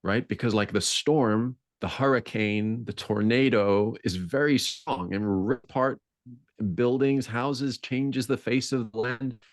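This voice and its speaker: A man with a deep voice speaking as a podcast guest in a reflective conversation, searching for words and building intensity on key points. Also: steady tone, a little slow, dry recording, clean studio sound.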